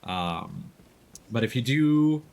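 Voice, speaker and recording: A man's voice: medium pitch, expressive, slow pace, a little mellow. A man doing live let's-play commentary, casually explaining the game as he plays. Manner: casual, instructive. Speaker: game streamer. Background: video game ambience.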